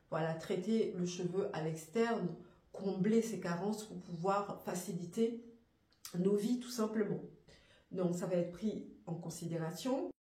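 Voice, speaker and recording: A woman with a hoarse voice from a cold, presenting an afro hair care course in a halting, thinking-aloud, earnest way. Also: on the low side, mellow, reverberant. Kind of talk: informal spoken course presentation.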